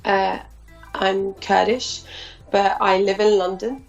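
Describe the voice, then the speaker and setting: A woman speaks in a flat, gravelly tone, relaxed and chatty. She sounds a little distant from the mic, and the recording is dull and echoing, with faint music behind her. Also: mid-range voice, takes it slowly. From Turkey. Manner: relaxed, chatty, says "you know" a lot. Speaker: committee member. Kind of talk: interview answers.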